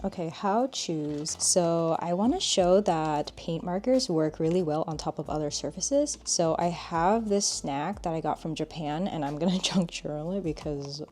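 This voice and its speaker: A young woman with a low-key, monotone voice, calmly chatting while she reviews art markers. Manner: calm, unhurried, says "like" often. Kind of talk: casual product review chat.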